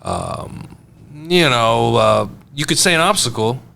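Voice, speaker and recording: A man's voice: medium pitch, very animated, slow pace, vocal fry, bright, forceful tone, slight background noise. A man co-hosting a podcast, speaking in an earnest, plain-spoken way. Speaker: podcast co-host. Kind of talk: casual podcast conversation.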